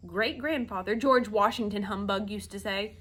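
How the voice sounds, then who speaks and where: A woman's voice: medium pitch, moderate pace, pushed and bright, slightly rough. A woman voicing a pompous, blustering old male insect in a storybook, with a grandiose, declamatory delivery. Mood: dismissive, coaxing.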